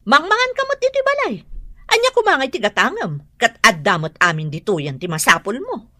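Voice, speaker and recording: A woman's voice: fairly high pitch, very animated, a little fast, sharp tone, no room sound, studio quality, full character voice. A woman with an Ilocano accent playing a stingy, scheming stepmother in a radio drama. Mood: haughty, irritated.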